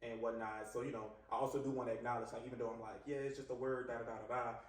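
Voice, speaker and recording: A man's voice: medium pitch, little pitch movement, racing. Sassy young new orleans guy chatting away, voice bouncing round a big echoey room, mic kinda far. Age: young adult. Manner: sassy, chatty, keeps asking "you know what I'm saying". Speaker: podcast guest, physical therapist. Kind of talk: casual conversation.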